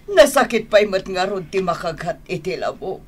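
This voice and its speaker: A woman's voice: fairly low pitch, very animated, normal speed. An actress playing a fussing, anxious mother in an Ilocano radio drama, exclaiming and drawing out her words, with an Ilocano accent. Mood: concerned, fussing.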